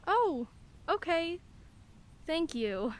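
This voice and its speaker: A woman's voice: extremely high pitch, sing-song, very slow, exaggerated voice. An actress playing a weary single mother who talks in a patient, pleading way in a radio play. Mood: polite.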